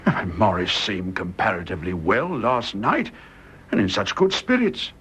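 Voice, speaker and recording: An actor playing a sympathetic, hearty upper-class gentleman in a period radio drama. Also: lowish voice, big pitch swings, normal speed, slightly rough, full character voice. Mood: sympathetic, shocked. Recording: dull, slightly noisy radio recording.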